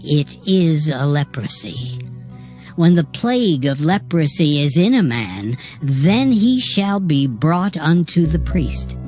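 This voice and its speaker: A woman giving a measured, formal, dramatized reading of King James scripture. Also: sits low, big pitch swings, darkish tone, soft background music, exaggerated voice.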